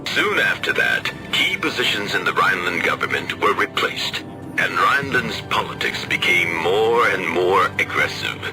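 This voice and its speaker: Game cutscene role: secret-order lord, deep voice, dramatic melodic swings, solemn exposition. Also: male voice, huge pitch swings, low-quality recording. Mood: grave. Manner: formal, measured, expository. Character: solemn male secret-order lord explaining a grave threat. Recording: very loud background music.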